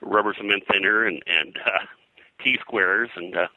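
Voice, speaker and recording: An older man reminiscing as an interview guest, in a halting, discursive way with detailed lists. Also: lowish voice, sharp tone, dry recording, poor audio. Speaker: interview guest, veteran comics colorist.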